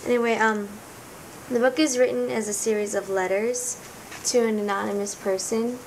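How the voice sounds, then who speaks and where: A teenage girl talks through a heartfelt book review with a raspy, gravelly voice. She rambles earnestly and keeps reaching for the right words. A steady rumbling noise sits clearly under the recording. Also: moderate pace, low-quality recording. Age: teens. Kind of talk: casual book review vlog. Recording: noticeable rumbling background noise.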